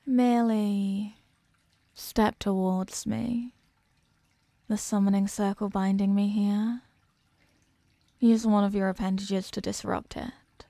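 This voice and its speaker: A woman plays an ancient female eldritch being in a horror audio roleplay. She is possessive and seductively menacing, looking down on mortals as tiny things. The voice is low-lit and mellow, deliberate in every word, and very close and dry, as if nothing stands between her and the listener. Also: little pitch movement, no room sound. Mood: commanding. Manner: slow, deliberate, condescending, commanding. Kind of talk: scripted ASMR horror roleplay.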